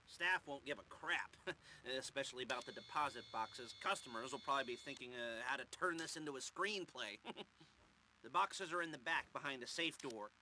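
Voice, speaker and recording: An actor playing a snarky, awkward middle-aged American criminal planner in a video game, rambling in a sardonic, nasal way. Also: male voice, mid-range voice, expressive, normal speed, rough recording. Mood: wry briefing. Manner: rambling, sardonic, awkward muttered asides and chuckles. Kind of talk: video game cutscene dialogue. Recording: loud car engine and road noise.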